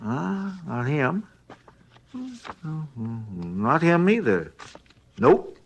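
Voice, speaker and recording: An actor playing a chatty, folksy hotel night clerk, rambling and hesitant, in a 1950s radio drama. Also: male voice, medium pitch, very animated, extremely slow, creaky, dull audio, exaggerated voice. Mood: musing, helpful.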